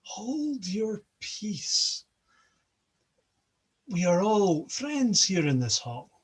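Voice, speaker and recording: A man voicing an old male chief god who tries to calm a quarrel at a feast. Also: sits high, drawn-out words, husky, pitched above the performer's own voice, exaggerated voice. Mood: placating.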